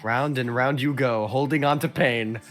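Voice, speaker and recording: A man's voice: mid-range voice, fairly even intonation, moderate pace, a bit bright, faint music behind, close to an everyday voice. A man voicing a bitter, suffering old woman servant, telling her story solemnly in an amateur game dub. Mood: bitter, grieving.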